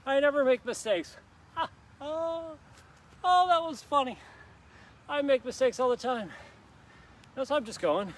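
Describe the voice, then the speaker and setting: A man's voice: extremely high pitch, animated, measured pace, pauses often, fairly bright tone. A man leading a live workout in a park, coaching upbeat and encouraging while doing the moves himself and joking about his own slip-ups. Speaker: fitness instructor. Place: outdoor park.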